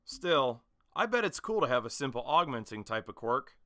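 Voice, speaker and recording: A man's voice: medium pitch, big pitch swings, normal speed, pushed and bright, no room sound, studio quality, pitched above the performer's own voice. A man voicing a brash, hot-headed teenage superhero student in a comedic anime dub style, with punchy, emphatic delivery. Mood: eager, envious. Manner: punchy, emphatic, indignant or eager.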